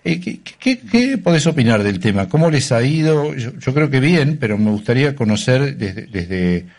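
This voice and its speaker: A man hosting an interview, asking halting, conversational questions and backing up his guest with short replies. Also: medium pitch, sing-song, creaky, darkish tone. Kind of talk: radio-style interview.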